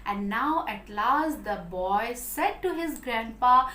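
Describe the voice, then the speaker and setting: A woman's voice: a little high, lively intonation, slow pace, bright, forceful tone. A woman with an Indian accent telling a story to young children as their teacher, in a sing-song, repetitive way with frequent checking questions. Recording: roomy, echoing sound.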